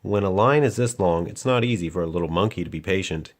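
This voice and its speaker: Low-pitched man reading a storybook. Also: fast. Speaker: children's book reader, host. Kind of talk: read-aloud storybook narration to a child.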